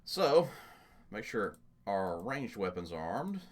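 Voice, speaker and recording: A man's voice: on the low side, very animated, takes it slowly. A man giving casual, jokey let's play commentary, muttering to himself as he goes, as the host of a gaming channel.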